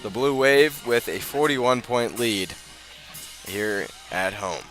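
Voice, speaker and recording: A man's voice: mid-range voice, expressive, takes it slowly, fairly bright tone, soft background music, low-quality recording. An American man doing live radio play-by-play of a football game in short, clipped phrases. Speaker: play-by-play commentator.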